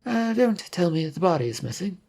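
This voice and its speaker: A narrator playing an uneasy, impatient detective in a space whodunit story. The husky, creaky voice sits a little above plain narration and sounds pointed and urgent. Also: medium pitch, very animated, brisk, darkish tone, voice pushed up. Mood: dreading.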